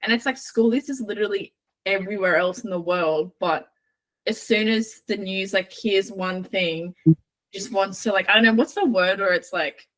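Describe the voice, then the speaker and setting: A woman chats casually on a podcast, halting and dropping "like" into nearly every phrase. Her pitch sits in the middle of the range. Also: fairly bright tone, low-quality recording. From Australia. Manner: halting, many "like" fillers.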